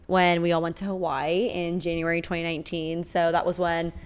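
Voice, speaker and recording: A young woman from Nebraska chats as a podcast guest. She rambles easily and fills her sentences with likes and I-don't-knows. Her pitch runs slightly low. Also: flat delivery, fairly quick, clear, resonant tone. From Omaha, Nebraska, United States. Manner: chatty, rambling, filler-heavy. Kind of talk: casual chat.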